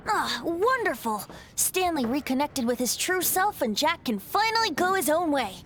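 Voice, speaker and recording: Breezy young bard god. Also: female voice, high-pitched, sing-song, normal speed, fairly bright tone, slight background noise. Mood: cheerful. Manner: breezy, teasing and carefree. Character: playful young male bard, a wind god in human form. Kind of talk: video game voice acting. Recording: game score under dialogue.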